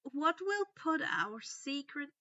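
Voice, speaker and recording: Woman playing a stern earnest schoolgirl in a story, high bright voice, explaining insistently. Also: big pitch swings, slow, highs cut off, heavily acted.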